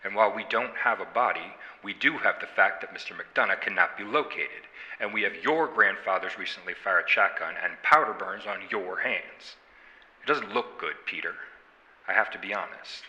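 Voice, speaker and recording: An actor playing a sardonic small-town homicide detective in an audio drama, needling a suspect in a folksy, deliberate, quietly menacing way. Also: male voice, lowish voice, long reverb tail, dull audio. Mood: accusing, firm. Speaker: police detective. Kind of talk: audio drama interrogation acting.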